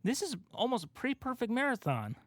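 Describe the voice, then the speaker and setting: A man podcast co-host chatting casually about movies, telling things in an animated way and acting out his own reactions. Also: extremely high pitch, fast talker, no room sound, clean studio sound.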